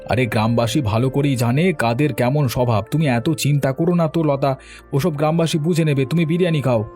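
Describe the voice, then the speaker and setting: A man playing a good-natured village uncle in a cartoon, brisk, teasing and reassuring. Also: mid-range voice, steady tone, darkish tone, soft background music, close to an everyday voice. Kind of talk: Bengali cartoon dialogue acting.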